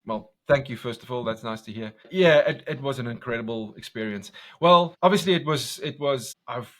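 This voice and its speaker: A man from South Africa with an Afrikaans accent, a commercial director talking passionately in a podcast interview, loud and full of restarts. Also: mid-range voice. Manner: intense, passionate, talks loud, many restarts.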